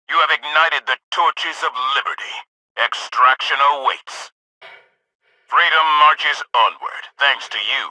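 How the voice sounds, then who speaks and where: An actor playing a solemn, zealous military democracy officer in a video game, speaking in stern, formal, grandiose oratory. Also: male voice, medium pitch, pushed and bright, dry recording, heavily acted, poor audio. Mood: proud, grave. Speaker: military officer and mission briefer. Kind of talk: scripted video game voice acting.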